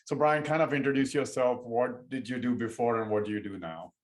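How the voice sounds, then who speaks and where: Guy hosting a chat, middle pitch, casual questions with lots of uh and you know. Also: a little fast. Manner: loose, filler-heavy questions. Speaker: podcast host.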